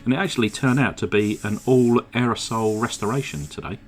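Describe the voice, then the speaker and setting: A man with a slightly croaky throat narrating his model car restoration at a home workbench, in a relaxed, chatty way full of "uh" fillers. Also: mid-range voice, brisk, faint music behind. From England. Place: home workshop. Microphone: desk microphone.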